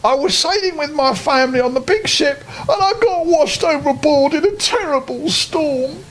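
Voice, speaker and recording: A man's voice: very high voice, fairly bright tone, slight background noise, voice pushed up, poor audio. A man voicing a small, polite, homesick teddy bear in a children's storybook, speaking earnestly. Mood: polite, grateful. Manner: polite, earnest, halting with repeated words.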